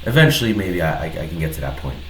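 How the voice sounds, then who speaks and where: A man with a low, deep voice and a stuffy head cold talks through a trade in a relaxed, halting way, often pausing and starting over. His voice sounds slightly nasal. The recording has a roomy echo with a faint hiss of background noise. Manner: relaxed, hesitant, many pauses and restarts. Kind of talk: casual screen-recorded trade breakdown.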